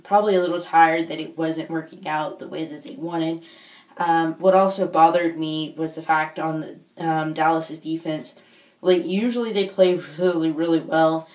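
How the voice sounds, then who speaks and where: A woman chatting casually in a rambling, hesitant vlog, with a voice worn from being sick. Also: low voice. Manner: rambling, hesitant, searching for words. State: recovering lost voice after being sick. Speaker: video blogger, football fan. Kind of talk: casual unscripted vlog chat. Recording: echoey room, distant microphone.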